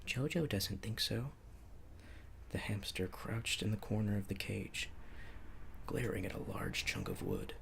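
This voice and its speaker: A man reading a bedtime story in a hushed, soothing ASMR narration. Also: fairly low pitch, sing-song, normal speed, gravelly, a little hiss or hum. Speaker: storybook reader.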